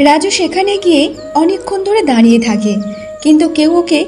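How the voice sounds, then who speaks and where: A woman narrates a cartoon folk tale from a script. Her voice is notably high-pitched. Music plays clearly behind her storytelling. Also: big pitch swings, fairly quick. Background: clearly audible music.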